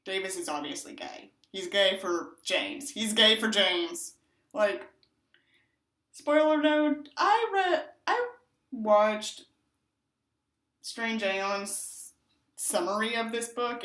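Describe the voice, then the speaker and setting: A woman's voice: mid-range voice, a bit bright. Woman reading aloud in an echoey room. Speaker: audiobook reader and commentator. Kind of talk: expressive book narration with mocking asides.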